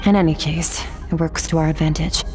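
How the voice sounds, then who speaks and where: Woman doing aloof warrior lady from a game, lowish voice, blunt n condescending, bit of music under. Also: fairly even intonation, brisk, darkish tone, natural voice. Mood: cool, explanatory. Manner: detached, matter-of-fact, condescending. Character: aloof, battle-hardened otherworldly swordswoman, cool and blunt. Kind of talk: video game voice acting.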